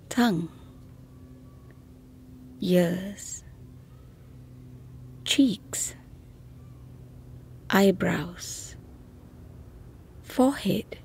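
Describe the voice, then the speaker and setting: A woman leads a guided relaxation in a soft, extremely breathy voice that often drifts toward a whisper. Her delivery is extremely slow, with long pauses between short phrases. The tone is dark and mellow, at a medium pitch. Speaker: meditation guide.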